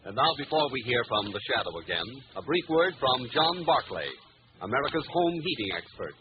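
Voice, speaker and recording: A man's voice: medium pitch, sing-song, brisk. A man doing scripted 1940s radio announcing with a formal, polished delivery. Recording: dull old radio recording, music behind.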